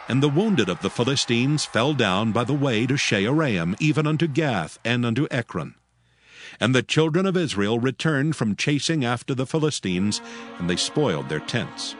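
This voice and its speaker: A man narrating scripture as storyteller in a dramatized reading. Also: mid-range voice. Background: background music.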